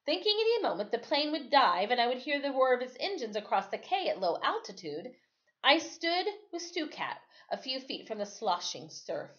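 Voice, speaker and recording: A woman reading a story aloud in an expressive, dramatic storytelling way, like a teacher. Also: fairly high pitch, moderate pace. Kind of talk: read-aloud storytelling with casual asides. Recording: dull, lo-fi sound.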